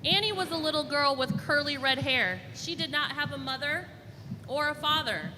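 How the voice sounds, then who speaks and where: A woman with a slightly high voice leads a story hour for children. She reads aloud in short, simple phrases. The space is reverberant, with clear background noise. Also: animated, normal speed. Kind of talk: reading a children's picture book aloud to an audience. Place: large indoor room with children on the floor.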